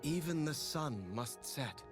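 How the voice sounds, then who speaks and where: An actor playing a serene, noble mystical knight in a video game, speaking in a lofty, poetic way. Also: male voice, on the high side, expressive, slow pace. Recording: music behind game lines.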